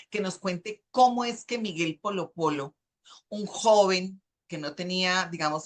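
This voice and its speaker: Middle-aged Colombian woman host, bright voice, hesitant and searching for words. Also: fairly low pitch. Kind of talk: hosting a video conversation.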